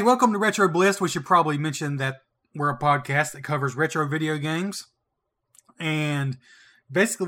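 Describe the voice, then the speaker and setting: A man's voice: a little high, sharp tone. A man with a Southern US accent co-hosting a podcast, talking casually in a joking, wry way. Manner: joking, wry, laughs often. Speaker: podcast co-host. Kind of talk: casual chat.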